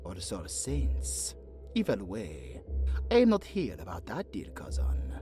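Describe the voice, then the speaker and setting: A sly dark elf emissary drawls with mocking courtesy, his pitch swooping wildly. The pacing is slow and theatrical, as in a fantasy audio drama, with soft music behind him. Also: huge pitch swings, exaggerated voice. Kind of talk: fantasy audio roleplay acting.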